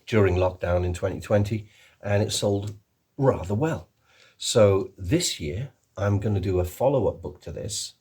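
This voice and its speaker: A middle-aged man with an accent somewhere between English and Welsh, chatting casually about his projects. His voice is deep, mellow and slightly husky. He rambles freely and fills his pauses with ums and restarts. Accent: mix of English and Welsh. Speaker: author, illustrator and voice actor. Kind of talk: casual video chat to camera.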